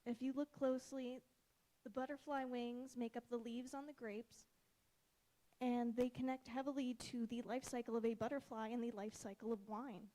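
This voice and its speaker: A woman's voice: fairly high pitch, fairly even intonation, normal speed, mellow, low-quality recording. A young woman presenting her student design and answering questions in an earnest, accommodating way.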